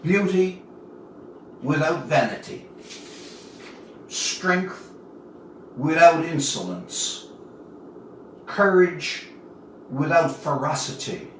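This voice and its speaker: Older man reading a story, slow and animated. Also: fairly high pitch. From Newfoundland, Canada. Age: 70s. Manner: deliberate, dramatic pauses between short phrases. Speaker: author reading own story. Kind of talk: expressive storybook narration, reading aloud. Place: indoor room, livestream reading. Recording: roomy, distant mic with faint noise.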